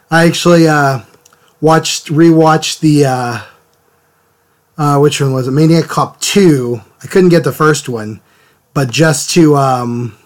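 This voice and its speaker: A man hosting a live drawing stream, a little hoarse from a cold, chatting casually and greeting viewers by name. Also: on the high side. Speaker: art stream host. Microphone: desk mic, sometimes turned away from it.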